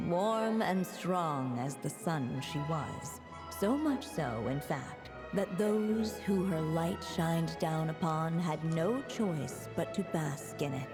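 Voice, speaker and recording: A woman playing a solemn, gentle witch who narrates a fairy tale in a video game, measured and storytelling. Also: low voice, lively intonation, full character voice. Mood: solemn, tender. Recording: long reverb under clear music.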